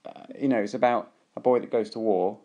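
A man with a British accent hosting a casual family podcast as a father, gently prompting his children with questions. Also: fairly low pitch, fairly even intonation, fast talker.

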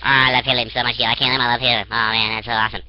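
A young man's voice is pushed up high by helium. He bursts out giddy, loud exclamations into a headset mic. The sound is dull and lo-fi, with faint hiss behind it. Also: little pitch movement, very fast. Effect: helium-raised voice.

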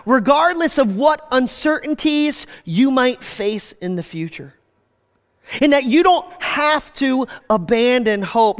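A man in his forties preaching a sermon from a church stage, animated, his voice dropping low at the ends of phrases. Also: clean tone, long reverb tail. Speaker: pastor. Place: church stage with screens, indoors.

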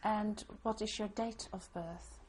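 A woman interviewer asking questions in a measured, formal way and spelling out names. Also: medium pitch, steady tone, background noise.

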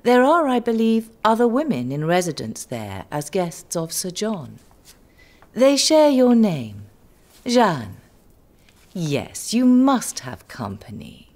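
A woman's voice: medium pitch, sing-song, takes it slowly, a little mellow. A woman playing a gracious, imperious noble duchess in an audio drama, with a genteel, coaxing manner.

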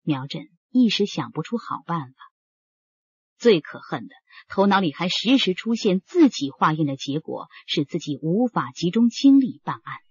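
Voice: medium pitch, sing-song, moderate pace, a little mellow, breathy edge, no room sound, muffled recording. A performer reading serious scripted narration for a crime radio drama. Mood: grave.

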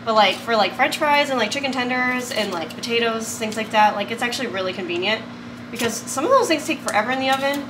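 A woman's voice: a little high, animated, quick pace, background noise. A woman talking casually to camera in her home kitchen while she cooks, rambling with lots of "like" and "um". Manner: rambling, many "like" and "um" fillers. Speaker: vlogger and photographer. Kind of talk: casual vlog chat.

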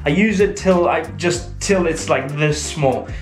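A man's voice: fairly high pitch, unhurried. Chatty man in an echoey garage workshop. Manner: chatty, self-mocking, thinking aloud while working. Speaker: presenter. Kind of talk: casual workshop vlog. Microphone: head-mounted action camera. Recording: roomy garage echo with music behind.

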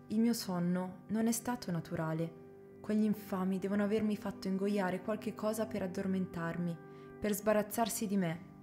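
A woman's voice: mid-range voice, mellow, close to an everyday voice. A woman acting a devoted female servant who tells her story earnestly, as radio drama. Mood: distressed. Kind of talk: dramatic first-person narration and dialogue. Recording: guitar music behind the voice.

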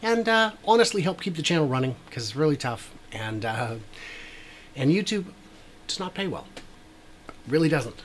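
A man's voice: a little high, animated, normal speed, pauses often. A middle-aged man talks through construction progress in an easy, chatty way, dropping wry asides and plenty of filler words. His voice sits a little above the usual male range. He records in a small room at his desk, with a faint hum of ambience behind him. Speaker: YouTube host. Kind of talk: casual commentary over drone footage. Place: small room with a brick wall.

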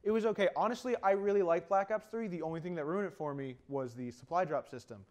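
Fast-talking opinionated young man. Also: high voice. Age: 20s. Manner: explanatory, opinionated. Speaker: talk show co-host. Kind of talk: animated video game discussion. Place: indoor TV studio.

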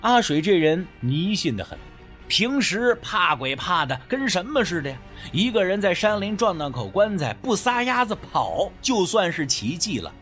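A man's voice: high voice, big pitch swings, normal speed, a bit bright, faint music behind. A man narrating a first-person story as an audiobook narrator, in a dramatic storytelling style.

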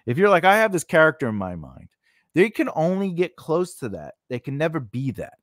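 A man's voice: fairly high pitch, sing-song, a little fast, no echo. A man in his forties giving an informal lesson in a conversational, rambling way, close on a studio microphone. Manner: conversational, rambling, punctuated with "you know" and "right?". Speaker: host of a screenwriting lesson. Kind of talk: informal instructional talk. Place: small indoor home studio. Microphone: large condenser microphone on a boom arm, close up.

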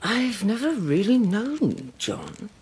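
Casting: ageing queen mother, proud and sharp-tongued, highly varied pitch, historical audio drama. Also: wildly swooping pitch, takes it slowly, slightly rough, exaggerated voice. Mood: weary, wry. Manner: imperious, dry, wry. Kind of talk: British-made historical radio drama acting.

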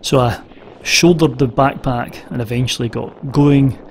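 A man's voice: fairly even intonation, measured pace, slight background noise, rough recording. A Scottish man in his forties chatting to camera from inside a car at night, rambling with small laughs. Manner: hushed and low at bedtime. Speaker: vlogger. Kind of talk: casual vlog to camera. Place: lying in bed in the back of a car. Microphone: camera on dashboard close up.

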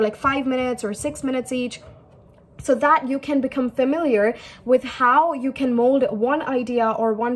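Young woman giving tips to camera, pretty high voice, chatty, says things twice. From India. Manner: chatty, repeats points, asks the viewer questions. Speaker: English tutor. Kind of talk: casual teaching talk to camera. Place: small indoor room.

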